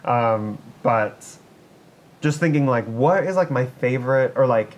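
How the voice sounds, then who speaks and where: A man's voice: expressive, unhurried. A man co-hosting a casual comedic podcast, thinking aloud in a rambling, wry way. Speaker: podcast co-host. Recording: noisy recording with low rumble.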